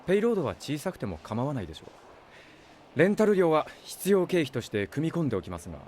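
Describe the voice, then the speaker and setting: A man's voice: a little high, big pitch swings, fast talker, a little mellow, slight background noise. A male actor playing a polite corporate representative in a Japanese audio drama, speaking formally and in a businesslike way.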